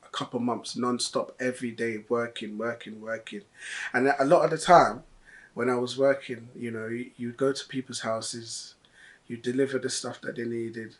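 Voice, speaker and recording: A young man talks through his story as an interviewee. He is earnest and a little halting, often stopping to restart or repeat words. His pitch sits in the middle range for a man. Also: fairly bright tone. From London. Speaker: delivery driver. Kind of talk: interview testimony.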